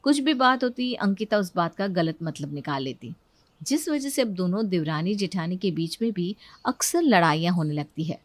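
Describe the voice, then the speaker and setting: A woman's voice: on the low side, lively intonation, normal speed, no room sound. Lady reading a kids moral story, dramatic telling, super dry close sound. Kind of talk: scripted narration of a Hindi moral story.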